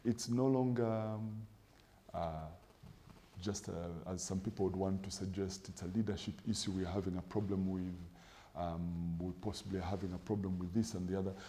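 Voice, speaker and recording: A middle-aged man with a low voice and a mellow, dark tone. He talks like a pastor on a studio set, measured and emphatic, building points in lists and quoting scripture. The sound is close and clean from a lapel mic. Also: fairly even intonation, slight background noise. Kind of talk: preaching-style interview answer.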